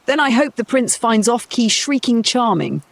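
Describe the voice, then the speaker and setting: A man's voice: very high voice, very animated, normal speed, gravelly, no echo, pitched above the performer's own voice, heavily acted. A man voicing a weary, sardonic middle-aged noblewoman stepmother in a storybook. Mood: dryly sardonic. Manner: sardonic, practical.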